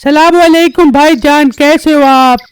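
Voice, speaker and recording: A woman's voice: sits high, steady tone, measured pace, slight background noise. A woman voicing a quarrelsome, dramatic cartoon village woman who scolds and complains. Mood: sweetly polite. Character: shrill nagging young village wife, quarrelsome and self-pitying, and her scheming aunt. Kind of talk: exaggerated comic cartoon dialogue.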